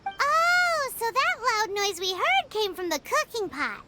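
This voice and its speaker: Squeaky, tiny, bubbly childlike companion. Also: female voice, sing-song, takes it slowly, heavy background noise, heavily acted. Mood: curious. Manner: bubbly, exclamatory, refers to self in third person. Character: small childlike female floating companion, eager and food-loving. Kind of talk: anime-style video game voice acting. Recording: game music behind the voice.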